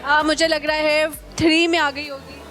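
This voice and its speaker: A woman's voice: high-pitched, flat delivery, a little slow. A woman with a Pakistani accent hosting a casual street interview, playfully teasing and echoing answers back as questions. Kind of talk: casual, bantering vox-pop interview. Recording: music and crowd chatter behind the voice.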